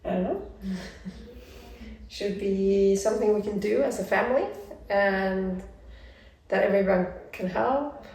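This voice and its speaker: Brief: woman in her forties from Norway, casual family vlogger, fry in the voice, sounds far off in a roomy space. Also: mid-range voice, darkish tone, slight background noise. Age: 40s. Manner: searches for words, laughs easily. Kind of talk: casual chat.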